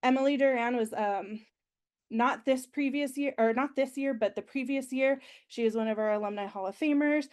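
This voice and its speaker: A woman's voice: high-pitched, normal speed, a little mellow, clear, resonant tone. Chatty young woman with a nasal voice. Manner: chatty and enthusiastic, frequent ums and likes. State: recovering from being sick. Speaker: university alumni relations staff presenter. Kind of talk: orientation presentation.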